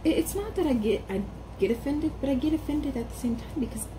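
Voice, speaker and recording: A woman's voice: sits high, expressive, quick pace. A young woman in a casual personal vlog, talking in a rambling, hesitant way with many ums and restarts and with strongly stressed R sounds. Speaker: vlogger. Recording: background noise.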